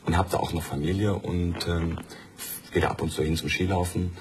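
Deep-voiced man chatting reflectively. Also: little pitch movement, brisk, a little hiss or hum. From Germany and Norway. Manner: chatty, reflective, many fillers. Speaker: studio guest. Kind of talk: casual conversation.

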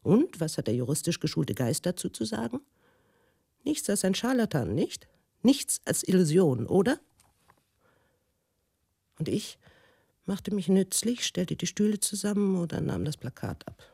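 A woman playing an embittered, wounded, educated wife in a radio drama monologue, husky and brooding, in deliberate, halting phrases. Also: fairly low pitch, big pitch swings, dark tone, dry recording, clean studio sound, heavily acted. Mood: mocking, then weary, wounded. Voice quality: husky, gravelly.

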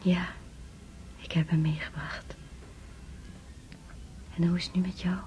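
Maria, a low, mellow grieving sister played by an actress. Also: female voice, little pitch movement, measured pace, a little hiss or hum, natural voice. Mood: gently concerned. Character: Maria, grieving gentle sister of a dying man.

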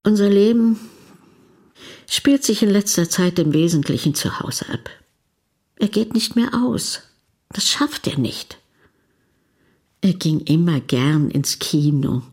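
A woman's voice: on the low side, expressive, slow, pauses often, a little mellow. An actress playing an elderly, caring wife who tells her story in a plain, conversational radio drama monologue. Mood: sad, concerned. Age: older. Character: elderly devoted wife, caring, worried, quietly amused.

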